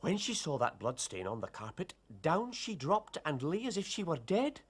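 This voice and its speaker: An actor playing a sheepish, deferential police constable in a period drama, full of folksy excuses. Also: male voice, on the high side, huge pitch swings, moderate pace, breathy edge, heavily acted. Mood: sheepish, explaining. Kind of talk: period detective drama acting.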